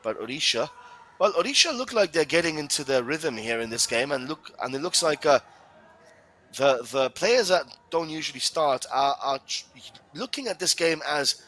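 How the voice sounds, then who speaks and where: Chatty football commentator from Gibraltar. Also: male voice, a little high, expressive, normal speed, sharp tone. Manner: chatty, reads viewer questions aloud. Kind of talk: live play-by-play commentary.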